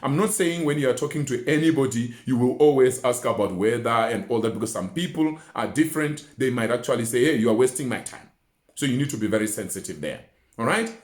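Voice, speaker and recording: A man's voice: medium pitch, fast talker. A man giving an instructional talk in an emphatic, didactic way, using rhetorical questions and repetition. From Botswana. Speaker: research mentor and communication coach. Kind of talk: instructional talk with numbered tips. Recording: roomy, echoing indoor sound.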